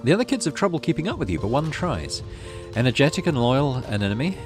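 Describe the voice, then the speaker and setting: A man's voice: medium pitch, sing-song, fast, music in the background. A man hosting a gaming video, reading story text aloud expressively and adding casual, musing commentary.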